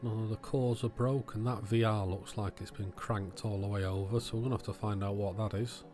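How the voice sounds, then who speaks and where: Low-voiced man talking through a repair. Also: fairly even intonation, fairly quick, music in the background. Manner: thinking aloud, matter-of-fact. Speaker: electronics repair video host. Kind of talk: informal bench repair commentary.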